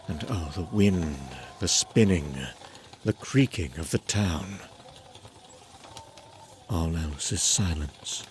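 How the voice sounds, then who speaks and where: A man playing a lonely, uneasy traveller who narrates a gothic horror tale in a brooding, halting, theatrical way. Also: low voice, a little hiss or hum. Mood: uneasy, apprehensive. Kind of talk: dramatic scripted horror narration.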